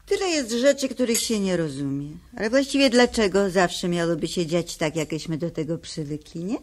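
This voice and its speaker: A woman's voice: on the low side, animated, moderate pace. A woman playing a kindly, homely storybook troll mother in a children's radio play, musing in a motherly way.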